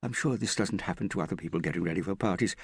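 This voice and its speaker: A man's voice: sits low, rapid-fire, gravelly, breathy edge, dry recording, voice pushed up, full character voice. Man doing a fretful, exasperated girl. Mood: exasperated, near tears. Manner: fretful, complaining. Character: exasperated, tearful young female character. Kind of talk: audiobook character acting.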